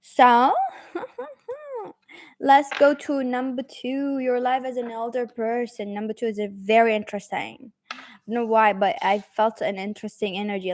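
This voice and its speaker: A woman with a fairly high voice reads tarot cards for an unseen viewer. She talks off the cuff and circles around her points, with many fillers and restarts. Her tone stays reassuring and direct. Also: darkish tone. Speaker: tarot reader. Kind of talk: pick-a-card tarot reading to the viewer.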